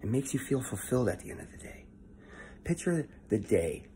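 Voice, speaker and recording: A young man giving a casual motivational monologue into his phone at home, keeping his voice low and swearing freely. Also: sing-song, moderate pace, warm tone, slight background noise. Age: young adult. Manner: talking low and swearing often, earnest. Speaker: vlogger. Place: indoor room at home. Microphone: phone held close at arm's length.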